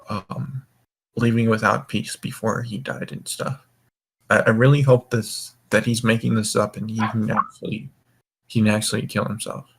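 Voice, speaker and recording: A man's voice: on the low side, slightly rough, poor audio. A drunk young man hosting a casual group chat, rambling and swearing as he goes. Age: young adult. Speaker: voice call host.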